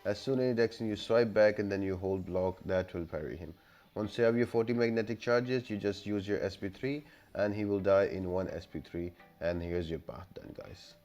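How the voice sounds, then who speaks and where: Male game-guide narrator, lowish pitch, instructional step-by-step delivery over background music. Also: normal speed, a little mellow. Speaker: game guide video creator. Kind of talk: gameplay tutorial voice-over.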